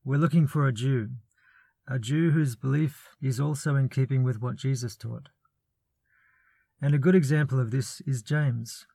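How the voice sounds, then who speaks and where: A man calmly talking through questions about the authorship of ancient letters, in a measured, reasoned way with a lightly wry touch. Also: medium pitch, vocal fry, breathy edge, no room sound. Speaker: biblical studies presenter. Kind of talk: measured scripted commentary.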